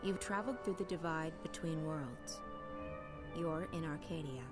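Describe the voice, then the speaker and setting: A woman's voice: sits low, moderate pace, lightly acted. A woman playing a jaded young resistance leader in a video game, curt, guarded and commanding. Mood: knowing, brisk. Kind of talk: video game cutscene acting. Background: background music.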